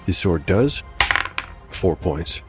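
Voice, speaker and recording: A man's voice: deep voice, expressive, slow pace. A man narrating a solo tabletop game as game master, moving between dramatic storytelling and wry asides about the dice. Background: background music.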